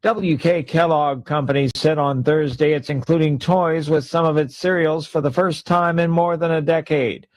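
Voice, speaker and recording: A man reading a news report in a steady newsreader style. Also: a little high, moderate pace, hardly any pauses, no echo.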